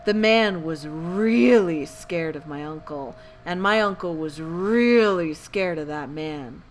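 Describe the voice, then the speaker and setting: A woman's voice: lowish voice, slow, slight background noise. A woman narrating scary tales as a bedtime storyteller, theatrical and full of suspense. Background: background music.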